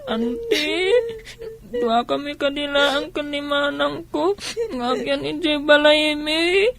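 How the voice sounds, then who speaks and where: A woman's voice: high voice, takes it slowly, creaky, a bit bright, full character voice. A woman with an Ilocano accent playing a timid little orphaned child in a radio comedy drama, childlike and a bit whiny. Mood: sad.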